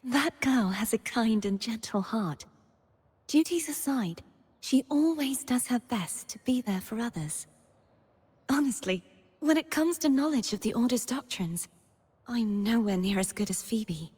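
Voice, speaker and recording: A woman playing a gentle, earnest, solemn young knightly maiden in a video game, speaking softly in a scripted monologue. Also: fairly high pitch, expressive, a little slow, husky. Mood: warm, reflective. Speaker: game character voice actor. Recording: long reverb on the voice.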